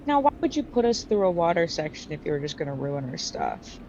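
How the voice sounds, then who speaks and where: A woman's voice: deep voice, big pitch swings, a little fast, a little mellow. A woman chatting casually as a player in a tabletop game. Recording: dull muffled mic, faint noise.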